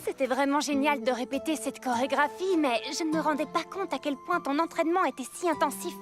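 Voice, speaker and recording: A woman voicing an upbeat young woman in a French-dubbed cartoon. She speaks in an animated, expressive way, with music underneath. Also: high-pitched, a little fast, close to an everyday voice. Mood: excited.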